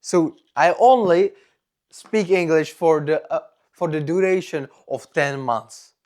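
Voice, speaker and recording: Slow-talking young man, strong Czech accent. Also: a little high, darkish tone. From Czech Republic. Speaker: vlogger. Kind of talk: personal monologue to camera.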